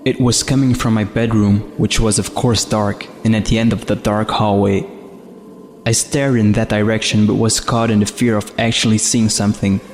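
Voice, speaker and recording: A man's voice: on the low side, level tone, normal speed, a little mellow, slight background noise. A man reading a scripted first-person horror story as its narrator. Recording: long reverb, faint music behind. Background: faint music.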